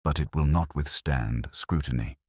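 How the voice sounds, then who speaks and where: A man voicing a composed, shrewd Victorian detective in a storybook reading, with precise, formal, deliberate speech. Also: rumbling low, slightly rough, no echo, clean studio sound. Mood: analytical, grave.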